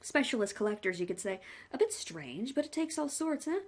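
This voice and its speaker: A woman voicing a cheerful, chatty male hangman who talks shop politely and brightly. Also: very animated, a little fast. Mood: cheery. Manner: cheerful, chatty, higher-pitched.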